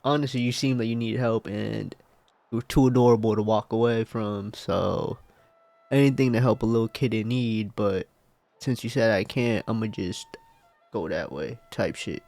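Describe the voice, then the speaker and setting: A man's voice: lowish voice, moderate pace, vocal fry, a little mellow, no room sound. A man streaming a game, a little sick and congested, bantering in laid-back slang and swearing casually. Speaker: gaming streamer. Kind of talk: casual gameplay commentary.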